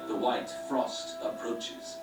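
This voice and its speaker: An actor playing a solemn, grandiose zealot leader in a video game, preaching like a prophet of doom. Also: male voice, medium pitch, flat delivery, slow pace, close to an everyday voice. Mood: ominous. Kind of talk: video game cutscene acting. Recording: roomy distant game playback, loud music behind.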